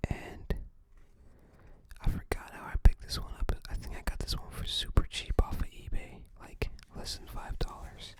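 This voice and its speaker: A person whispering softly and breathily in an unhurried, halting ASMR show-and-tell style. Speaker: ASMR video creator.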